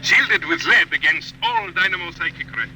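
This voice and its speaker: A man's voice: on the high side, big pitch swings, normal speed, pushed and bright, exaggerated voice. A man playing a strident foreign propaganda broadcaster, bombastic and declamatory over shortwave radio in a 1950s drama. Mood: bombastic, denouncing. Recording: noisy shortwave radio sound.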